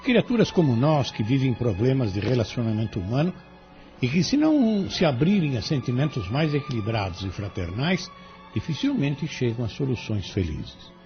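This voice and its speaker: Audio drama spirit mentor played by a man: benevolent, solemn, Brazilian, highly modulated exhortation, muffled sound. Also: sing-song, normal speed. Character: benevolent fatherly male guardian spirit, solemn and consoling. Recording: dull lo-fi audio with music behind.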